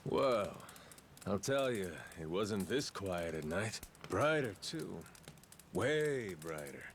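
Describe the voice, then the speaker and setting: An actor playing a gruff, easygoing Yakuza man with amnesia in a video game, speaking in rough, teasing, folksy slang. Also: deep voice, sing-song, takes it slowly, slight background noise, exaggerated voice. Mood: easygoing, wry. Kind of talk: video game cutscene acting. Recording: faint music behind the dialogue.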